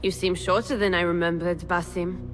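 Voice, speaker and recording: An actress playing a blunt, streetwise assassin informant in a video game, speaking in a wry, plain-spoken way. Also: female voice, on the low side, little pitch movement, normal speed, a bit bright, faint music behind, close to an everyday voice. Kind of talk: cinematic video game acting.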